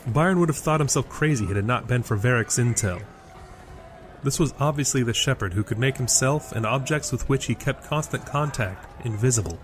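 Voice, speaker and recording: A man narrates an audio drama in a scripted storytelling style, his mid-pitched voice set against prominent music. It is measured prose reading. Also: lively intonation. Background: loud music.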